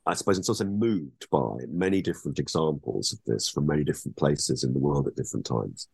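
A man's voice: very deep voice, brisk, vocal fry, a little mellow, slightly rough, no room sound. A man being interviewed as an author, speaking thoughtfully and hesitantly with frequent restarts. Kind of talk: academic interview.

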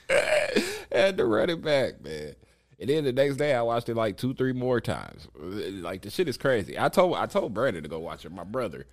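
Low-voiced laid-back young man. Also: wildly swooping pitch, moderate pace, dry recording, clean studio sound. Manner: laid-back, agreeable, emphatic praise. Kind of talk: casual podcast chat.

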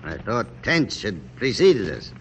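Casting: stately revolutionary general, grave and formal, widely varied pitch, vintage radio hiss. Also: male voice, big pitch swings, measured pace, a bit bright, heavily acted. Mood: puzzled, commanding. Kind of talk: 1940s-50s radio drama acting. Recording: faintly noisy old radio recording.

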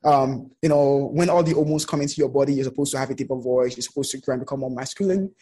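A man's voice: mid-range voice, quick pace, breathy edge. A man speaking as an interview guest, telling personal stories conversationally and acting out the remarks he quotes. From outside the United States. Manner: animated retelling with quoted remarks.

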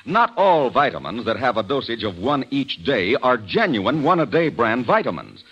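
A male announcer reads a 1940s-style radio advertisement. His voice is husky and his pitch moves very widely for emphasis. It sounds like an old broadcast. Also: big pitch swings, normal speed, fairly bright tone, lo-fi sound. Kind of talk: 1940s radio commercial read.

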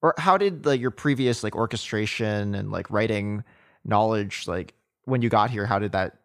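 A man's voice: lowish voice, moderate pace, creaky, fairly bright tone, no room sound, clean studio sound. A man hosting a podcast, reading a scripted intro and then asking hesitant questions full of "like". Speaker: podcast host.

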